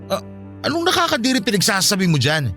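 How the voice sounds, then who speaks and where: A man's voice: high voice, wildly swooping pitch, fast, bright, forceful tone, faint music behind, full character voice. A man with a Filipino accent playing an aggrieved, pleading young father in a radio drama, insistent and emotional. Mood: hurt, indignant.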